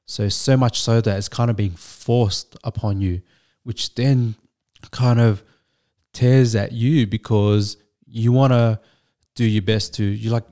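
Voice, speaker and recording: A man, a Vietnamese speaker, telling his story as a guest in a measured, thoughtful way with frequent restarts. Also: fairly low pitch, warm tone. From Australia. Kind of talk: reflective storytelling.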